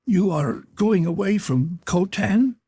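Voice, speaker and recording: A man's voice: high-pitched, expressive, takes it slowly, warm tone, breathy edge, no room sound, studio quality, voice pushed up, heavily acted. A man voicing an ardent, tender young native woman in an audiobook, speaking in an exclamatory, pleading way. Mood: small, hurt. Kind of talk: audiobook character voice.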